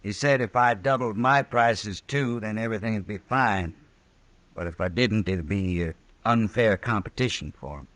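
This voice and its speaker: A man's voice: fairly low pitch, moderate pace, a bit bright, natural voice. A man playing an honest, stubborn mule driver with a rural American accent, plain-spoken, in an old-time radio western. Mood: indignant. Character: honest, stubborn mule-driving freight hauler.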